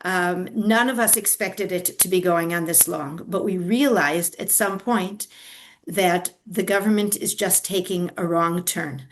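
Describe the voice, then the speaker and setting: A woman's voice: lowish voice, a little slow, slight background noise. An older woman, a grandmother and activist, answering interview questions in an earnest, explanatory way with frequent fillers and restarts. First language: not Hebrew. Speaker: activist guest.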